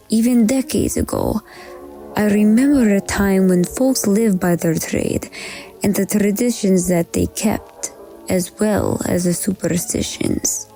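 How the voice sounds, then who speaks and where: A woman's voice: mid-range voice, mellow. A woman giving a dramatic, ominous scripted narration as the show's narrator. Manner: slow, ominous storytelling. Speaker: show narrator. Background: faint music.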